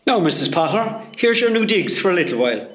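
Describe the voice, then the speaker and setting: A man's voice: high voice, very animated, fast, a bit bright, roomy sound. A man playing a cheerful, gossipy hospital porter in a comedy radio play, chatty and familiar. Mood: chatty, teasing.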